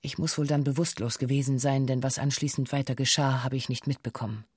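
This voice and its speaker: Low-key formal witness, dry studio. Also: female voice, fairly even intonation, a little mellow, husky, no echo. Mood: sober, factual. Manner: formal, deliberate statement-like delivery. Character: former hostage giving sober witness testimony of a bank siege. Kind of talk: scripted documentary radio drama monologue.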